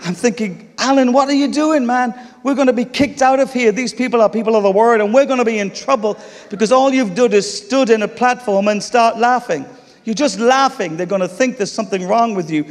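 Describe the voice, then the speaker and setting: A man's voice: very high voice, fairly quick. A man with an English accent preaching a sermon, telling a funny story with laughs before turning to teaching. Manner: humorous, animated storytelling with laughter. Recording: long reverb of a large hall.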